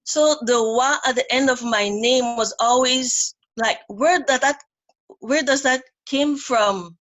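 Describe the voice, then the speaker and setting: A woman from Guyana speaks English with a Dutch-tinged accent, slowly and with frequent "um"s. Her voice sits a bit high. She gropes for words as she asks her question. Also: fairly bright tone. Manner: hesitant, restarts with "um". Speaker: caller asking a question. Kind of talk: casual question on a call.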